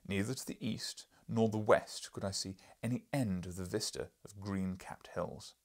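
Man acting pompous old professor, loud and gravelly. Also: on the low side. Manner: pompous, declamatory, lecturing. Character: imperious, irascible, scholarly older male professor explorer. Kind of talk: audiobook character reading.

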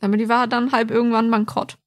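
Young female podcast co-host, fairly high voice, casual chat, very dry sound. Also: level tone, fast, no echo.

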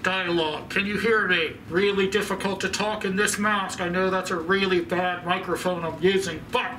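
A man's voice: high-pitched, fairly even intonation, moderate pace, bright, forceful tone, pitched above the performer's own voice. A man in his thirties speaking muffled and strained through a thick silicone mask, recorded on a poor microphone. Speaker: channel host. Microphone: poor-quality microphone. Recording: distant cheap mic with faint noise.

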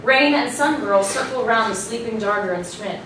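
A woman's voice: a little high, a little fast. A woman giving scripted narration, reading out stage directions at a staged play reading. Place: small hall, staged reading before an audience. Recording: very echoey room, distant mic, noisy.

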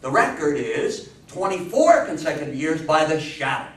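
A man in his sixties giving an animated talk to an audience, telling stories emphatically, repeating phrases and asking questions. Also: fairly high pitch, normal speed. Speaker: lecturer on old-time radio history. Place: meeting room with seated older audience. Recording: roomy, distant camera mic with background noise.

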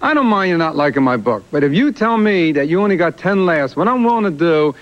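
A man's voice: a little high, big pitch swings, a little fast, lo-fi sound. A man who is a guest comedian and author, arguing his point step by step on a TV talk show. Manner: argumentative, laying out a challenge point by point. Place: TV studio set.

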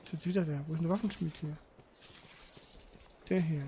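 A man's voice: on the high side, steady tone, fairly quick, mellow. A man doing casual gaming commentary, thinking aloud in a slangy, grumbling way. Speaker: let's player. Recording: clear background noise.

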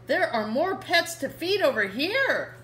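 A woman voicing a cheerful little girl in a storybook, speaking in bright sing-song exclamations. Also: high voice, sing-song, measured pace, slight background noise, voice pushed up, exaggerated voice.

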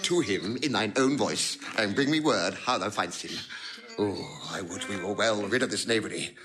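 An actor playing a roguish gentleman prankster in a classical stage comedy. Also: lowish voice, normal speed, a crowd in the background, natural voice. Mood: conspiratorial, uneasy. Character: roguish gentleman relishing a prank, growing uneasy.

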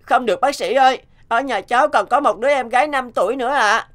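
A woman's voice: sits high, fast talker, a bit bright. A woman voicing a polite, earnest young orphaned boy, a devoted big brother, in a cartoon. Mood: anxious pleading. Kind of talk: Vietnamese cartoon dubbing.

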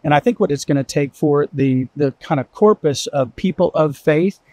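A man with a somewhat higher voice weighs his words carefully on a discussion panel. He is recorded close, with a dry sound. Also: moderate pace, dark tone, no echo. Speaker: roundtable guest.

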